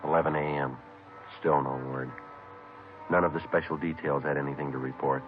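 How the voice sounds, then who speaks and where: A man's voice: very deep voice, steady tone, a little fast. An actor with an American accent playing a terse police detective, narrating his case in clipped, matter-of-fact 1950s radio style. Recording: tinny vintage radio recording, music.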